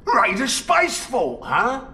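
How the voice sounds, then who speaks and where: A man's voice: wildly swooping pitch, takes it slowly, pushed and bright, a little hiss or hum, heavily acted. A man voicing a small, eager, sneaky goblin underling in a video game. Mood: eager, servile. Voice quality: shrill, piercing. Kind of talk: video game cutscene acting.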